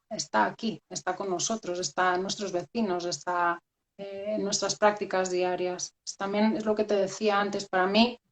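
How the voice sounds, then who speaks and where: A woman talks through her ideas slowly and thoughtfully, stopping often to restart her sentences. Her voice stays within a narrow, even pitch range. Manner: hesitant, many fillers and restarts. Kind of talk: reflective conversational talk.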